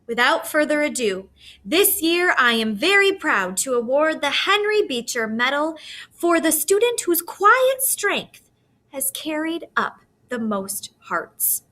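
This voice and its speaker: A woman voicing a dignified male school principal giving an earnest, measured ceremonial speech in a storybook reading. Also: sits high, very animated, bright, forceful tone, voice pushed up, heavily acted. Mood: solemn, moved.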